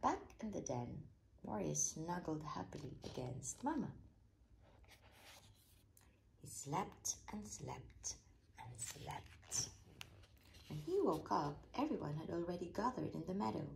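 A woman reading a children's story aloud with expressive, deliberate narration, over faint handling noise. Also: low voice, vocal fry, poor audio. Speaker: storybook reader.

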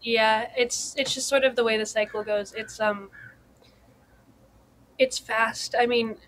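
A woman's voice: fairly high pitch, fairly even intonation, measured pace, fairly bright tone. A young woman, a band member, chatting casually as a guest in an interview. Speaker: indie rock band member, podcast guest. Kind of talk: casual chat.

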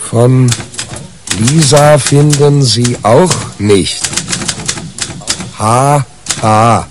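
A man's voice: mid-range voice, noisy background. An actor playing a wry, exasperated man in a 1980s radio crime drama, telling his tale dryly and hesitantly. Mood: slow, mocking dictation.

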